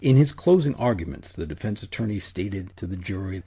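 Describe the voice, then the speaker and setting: A man narrating a scripted history documentary as its host in a measured, solemn, deliberate manner. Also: low voice, expressive, warm tone, husky. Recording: narration over a music bed. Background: music.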